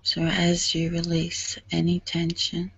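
Slow, husky woman leading guided meditation. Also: on the low side, monotone, dry recording, muffled recording. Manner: soothing, hushed, breathy delivery with long pauses.

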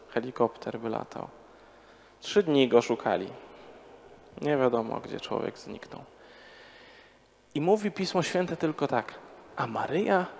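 Conversational priest in a reverberant church. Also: male voice, mid-range voice, gravelly, a little mellow. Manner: conversational preaching, rhetorical questions. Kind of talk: parish sermon. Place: large church before a congregation. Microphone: handheld microphone.

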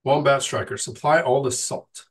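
A man's voice: medium pitch, normal speed, recorded from a distance. A middle-aged man with a sick, nasal voice, casually answering live chat questions as host, with frequent ums and restarts.

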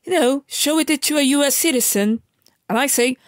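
A woman's voice: high voice, moderate pace, dry recording, studio quality. A woman from Argentina, talking in a casual, emphatic vlog rant, lecturing her viewers with many restarts and fillers. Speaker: vlogger. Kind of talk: casual ranting monologue.

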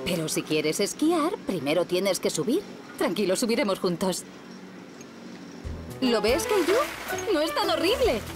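Patient preschool-cartoon mum: Castilian accent, mid register, expressive and reassuring. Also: female voice, mid-range voice, a little slow, pauses often, over music. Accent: Castilian Spanish. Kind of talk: children's cartoon dub acting.

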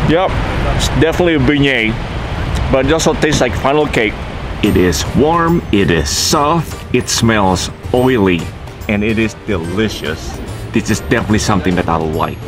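A young man hosting a casual travel vlog outdoors, talking enthusiastically about what he sees. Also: lowish voice. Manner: enthusiastic, marvelling. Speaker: travel vlogger host. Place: outdoors at a mountain monastery.

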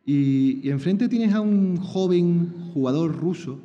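A middle-aged man hosts a live chess talk from a table mic, chatty and full of repeated words and tag questions. His voice is very mellow and dark. The sound is dull and carries a long reverb from the small lecture hall. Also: fairly high pitch, expressive, slow. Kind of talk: live public interview.

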